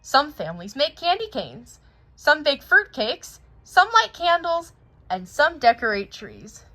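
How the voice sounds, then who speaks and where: A woman reads a children's story aloud as its host. Her voice is bright and fairly high, with very animated intonation that follows the storytelling. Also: measured pace. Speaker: host reading a picture book aloud.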